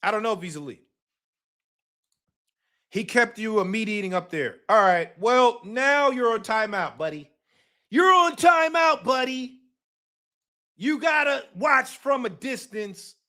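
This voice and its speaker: A man hosting a live boxing talk stream, talking casually and emphatically, repeating his points, swearing and bursting into shouts. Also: very high voice, lively intonation, measured pace, pauses often.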